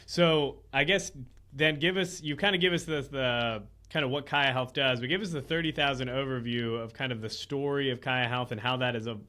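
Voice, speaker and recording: A man's voice: mid-range voice, bright, forceful tone. A man hosting a podcast, asking long, rambling interview questions in a casual way, full of "like" and "kinda". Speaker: podcast host, go-to-market consultant.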